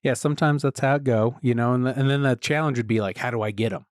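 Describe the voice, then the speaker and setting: A man hosting a podcast interview, talking in a relaxed, laid-back way and laughing often. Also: mid-range voice, fast, dry recording, studio quality. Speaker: podcast host. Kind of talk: casual interview chat.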